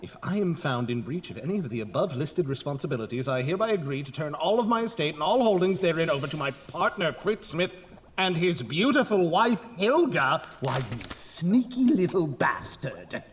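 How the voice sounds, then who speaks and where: A man's voice: on the high side, big pitch swings, normal speed. A man playing a frantic, exasperated young mad scientist, melodramatic and pleading, in a comic horror audio drama. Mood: indignant. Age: young adult. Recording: noticeable background noise.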